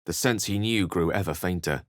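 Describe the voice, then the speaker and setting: Male actor as courteous scholarly wizard, deep pitch, articulate, measured, literary, video game adventurer, very dry recording. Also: no room sound, natural voice. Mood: grave, narrating. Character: eloquent, courteous, bookish male human wizard, a wry adventurer. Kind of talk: video game character lines and narration.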